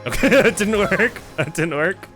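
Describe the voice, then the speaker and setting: A man's voice: high-pitched, expressive, normal speed, music in the background. A man giving casual, profane gameplay commentary as he streams, often repeating short phrases. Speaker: video game streamer.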